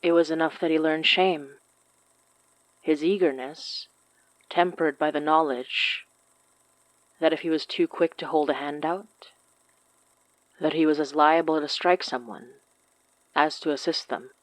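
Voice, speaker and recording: Low-voiced woman narrating, close dry sound. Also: steady tone, a little slow, pauses often, dry recording, rough recording. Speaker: podcast host and story narrator. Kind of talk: podcast announcement and scripted story narration.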